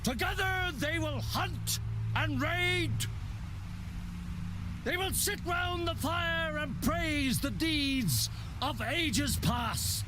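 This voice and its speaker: A commanding clan elder in a fantasy game, orating extremely slowly with clear noise around him. His pitch is raised very high and the delivery is solemn and ceremonial. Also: lively intonation, full character voice. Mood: solemn, proclaiming. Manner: slow, ceremonial, oratorical. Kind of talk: video game acting, funeral oration.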